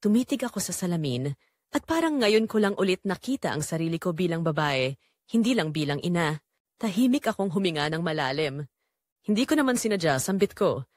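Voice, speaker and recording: A woman's voice: lowish voice, very animated, a little fast, no room sound. A woman playing a lonely middle-aged widowed mother who tells her secretly yearning story in an audio drama. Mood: conflicted yearning. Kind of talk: first-person dramatic audio story narration.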